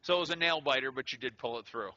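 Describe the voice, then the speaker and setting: A man's voice: mid-range voice, fast talker, bright, forceful tone, no echo. A man hosting a casual interview, upbeat and joking, with many fillers. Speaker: show host interviewing a tournament winner. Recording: lo-fi recording.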